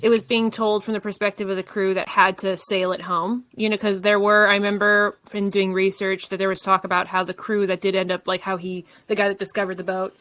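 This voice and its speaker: A woman's voice: mid-range voice, flat delivery, a little fast, hardly any pauses, fairly bright tone, no echo. A woman in a casual podcast discussion, offering ideas in a tentative, conversational way. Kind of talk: casual chat.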